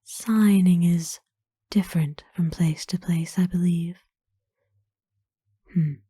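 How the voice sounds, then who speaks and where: A woman playing a kind, courtly, centuries-old vampire in an ASMR roleplay, speaking tenderly and formally in a breathy whisper. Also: fairly low pitch, fairly even intonation, warm tone, dry recording, studio quality, exaggerated voice. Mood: curious, soft. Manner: formal, slow, tender, hesitant pauses. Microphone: close dry microphone.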